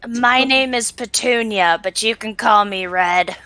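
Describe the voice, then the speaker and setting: A woman's voice: fairly high pitch, measured pace, sharp tone. A woman voicing a sly, scheming red demon woman with hooves and horns, in a tabletop game.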